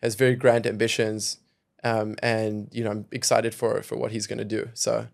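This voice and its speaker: A man's voice: fairly low pitch, little pitch movement, normal speed, creaky. A young man from South Africa, a guest on a podcast, speaking thoughtfully and hedging often with "kind of" and "you know". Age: young adult. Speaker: venture capital investor, podcast guest. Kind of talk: casual podcast conversation.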